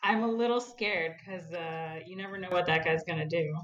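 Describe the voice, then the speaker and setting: Woman guest, lowish voice, dry understated humor. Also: normal speed, lo-fi sound. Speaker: podcast guest. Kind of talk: casual chat.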